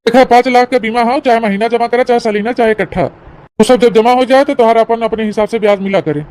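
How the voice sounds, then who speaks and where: A woman's voice: on the high side, fairly even intonation, quick pace, a little mellow, natural voice. A woman voicing a patient, persuasive village insurance agent, a man, in an Awadhi comedy cartoon, explaining things in colloquial salesman style with an Awadhi accent. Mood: businesslike, patient.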